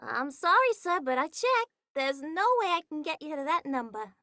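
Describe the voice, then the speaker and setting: An actress playing a polite, officious telephone operator in 1940s radio style, with formulaic, sing-song phrasing. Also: female voice, high-pitched, sing-song, normal speed, heavy background noise.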